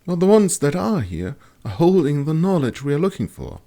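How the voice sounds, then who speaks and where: A man voicing a knowledgeable woman astrologer in a fantasy audiobook, explaining things in a measured, assured way. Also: fairly high pitch, sing-song, mellow, voice pushed up. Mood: assured, hopeful.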